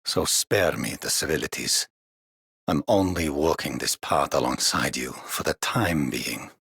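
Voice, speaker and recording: Very deep, gravelly brooding cynic. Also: male voice, measured pace, fairly bright tone, dry recording, clean studio sound, full character voice. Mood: cold, disdainful. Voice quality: gravelly, husky. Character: cynical, reluctant male ally, cold and brooding. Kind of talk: video game character voice lines.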